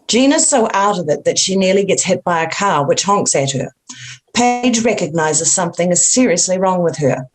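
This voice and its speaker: Woman narrating a script, lower-pitched voice. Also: animated, normal speed, soft background music. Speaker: stage-direction narrator.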